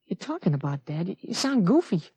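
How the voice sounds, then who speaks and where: An actor playing an excitable thirteen-year-old boy in a 1950s radio drama. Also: mid-range voice, a little fast, slightly rough, dry recording, lo-fi sound. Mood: puzzled.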